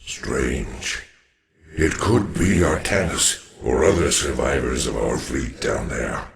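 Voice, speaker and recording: A man playing a grave, weary old alien warrior in a video game, with a deep gravelly voice and a solemn, formal manner. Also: lively intonation, slow, a little hiss or hum, full character voice. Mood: grave, resolute.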